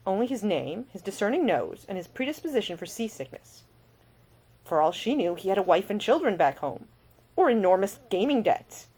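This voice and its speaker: A woman reading her own fiction as an audiobook, in an expressive storytelling manner. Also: mid-range voice, normal speed, husky. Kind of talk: scripted fiction narration.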